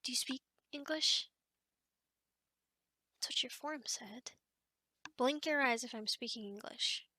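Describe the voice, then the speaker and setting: A woman's voice: high voice, slow, long pauses, pushed and bright, slight background noise, heavily acted. A woman playing an awkward, chatty young sleep paralysis demon in an ASMR roleplay, rambling hesitantly and often dropping toward a whisper. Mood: friendly, casual.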